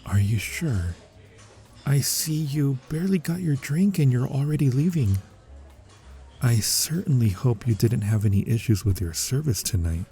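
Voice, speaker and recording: A man's voice: mid-range voice, expressive, moderate pace, warm tone, lightly acted. A man playing a fawning, suspicious restaurant manager in an ASMR roleplay, formal and unctuously polite. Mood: smooth, probing. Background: music.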